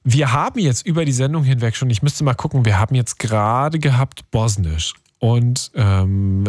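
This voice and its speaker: A man's voice: medium pitch, a little mellow, no room sound, studio quality. A young man hosting a radio call-in show, chatting informally to listeners in youthful slang sprinkled with English words. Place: dry radio studio.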